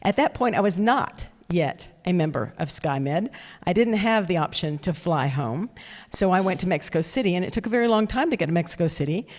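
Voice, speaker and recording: A woman's voice: lowish voice, lively intonation, brisk, darkish tone. Older woman telling a story in a reverberant hall. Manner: chatty storyteller, laughs at her own story. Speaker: club speaker introducing a guest. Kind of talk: casual talk with personal anecdotes. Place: indoor meeting room. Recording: long reverberant room sound.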